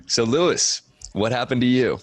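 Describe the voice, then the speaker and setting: A male podcast host interviewing a guest in a relaxed, encouraging way. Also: medium pitch, moderate pace. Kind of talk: casual interview.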